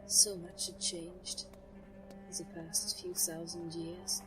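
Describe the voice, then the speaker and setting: A woman playing an ancient, brooding nature sorceress who narrates an audio drama in a solemn, measured, literary manner. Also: on the low side, monotone, rough recording. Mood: solemn, reflective. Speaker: first-person narrator. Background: music.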